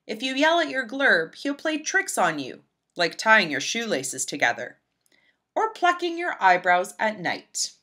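Animated, bright-voiced woman reading aloud. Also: medium pitch, measured pace. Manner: animated, emphatic, storybook delivery. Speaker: librarian reading a picture book aloud. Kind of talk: expressive children's read-aloud narration.

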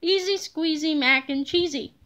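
A woman's voice: sits high, moderate pace, pushed and bright. A woman voicing a plucky, easily alarmed schoolboy in a children's storybook, exclaiming with urgency. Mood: confident. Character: frightened, plucky schoolboy hero.

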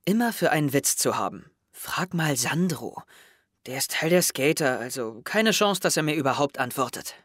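A man's voice: on the high side, lively intonation, normal speed, fairly bright tone, dry recording, studio quality. A young man playing an introspective, wry teenage schoolboy who narrates his thoughts in an audio drama. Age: young adult. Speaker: actor narrating as the main character. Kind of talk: audio drama inner monologue.

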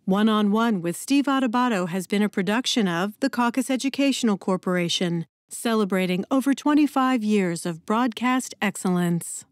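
A woman's voice: medium pitch, normal speed, few pauses, no echo. A woman announcer reading scripted sponsor credits as a studio voice-over.

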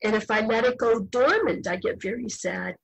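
An older woman, a novelist being interviewed, speaking reflectively in a measured, firm way. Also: fairly high pitch, expressive, vocal fry, a little hiss or hum, low-quality recording. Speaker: guest novelist.

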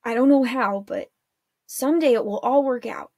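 A wry teenage warlock boy, low-key and matter-of-fact, as a woman reads him. He explains things in a steady, unbothered way. The sound is close and dry. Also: moderate pace, no room sound, natural voice. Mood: calm.

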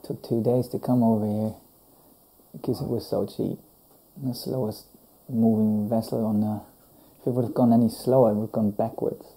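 A man tells stories drily in an interview. His voice is very soft and dark in tone, with a flat, even pitch. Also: moderate pace, poor audio. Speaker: documentary interviewee. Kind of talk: wry anecdotal storytelling.

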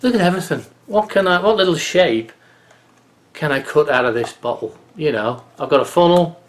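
A man's voice: a little high, animated, moderate pace. A man in his fifties, hosting a casual bushcraft tip from his home office webcam, chatty and folksy, muttering as he carves. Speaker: bushcraft channel host. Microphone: webcam on desk.

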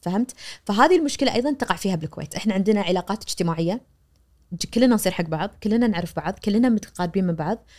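A woman in her forties with a Kuwaiti accent, a media professor explaining things animatedly as a guest on a studio podcast, recorded on a boom mic. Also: rapid-fire. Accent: Kuwaiti Arabic. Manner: animated, gesturing, acts out quoted voices. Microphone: boom-arm studio mic.

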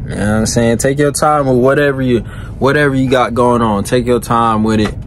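A man's voice: medium pitch, steady tone, brisk, a little hiss or hum. A man in his thirties, a truck driver vlogging late at night in his cab, talking in a laid-back, slangy way into a phone held close. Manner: laid-back, slangy, keeps saying "you know what I'm saying". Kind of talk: casual late-night vlog. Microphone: phone held close at arm's length.

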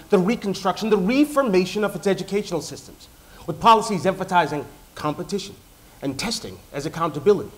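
A man in his thirties who was born in Nigeria and raised in the US, giving a memorized talk to a large lecture-theatre audience, deliberate and rhetorical with dramatic pauses. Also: a little high. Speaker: doctoral student presenter. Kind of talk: memorized competition talk on stage.

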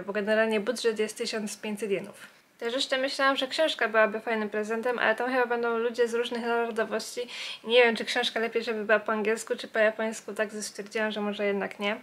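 Female vlogger, higher-pitched voice, chatty and informal, talks straight to the viewer. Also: fairly bright tone. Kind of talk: casual vlog chat.

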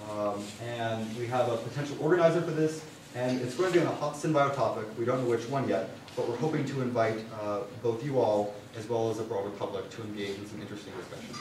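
A man's voice: mid-range voice, fast talker, a little mellow. Young man in a very echoey hall. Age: young adult. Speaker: student presenter at a university science club. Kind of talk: informal presentation with slides. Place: university lecture hall. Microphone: far camera. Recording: distant room mic, very echoey, clear noise.

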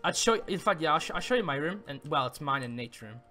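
A man's voice: a little high, animated, fast talker, sharp tone, faint music behind. A man chatting through improvised game roleplay, often stammering and repeating his words. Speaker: roleplayer showing others around. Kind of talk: improvised Minecraft roleplay chat.

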